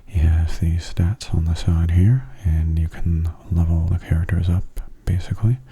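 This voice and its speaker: A man giving soft-spoken ASMR gameplay commentary in a hushed, breathy, unhurried and casual way, close to the microphone. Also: steady tone. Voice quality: breathy, husky low voice. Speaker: gaming ASMR video host. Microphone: close mono microphone.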